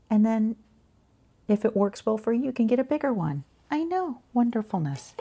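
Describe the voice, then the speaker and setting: A woman talking quietly in an ASMR shop roleplay, gentle and chatty, with a soft, breathy voice that often drops toward a whisper. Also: medium pitch. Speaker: ASMR roleplayer as boutique shopkeeper and channel host.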